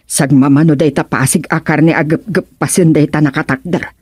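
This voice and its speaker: A woman's voice: sits low, steady tone, a little fast, darkish tone, slightly rough. A woman playing a curious, coaxing wife in a radio comedy drama.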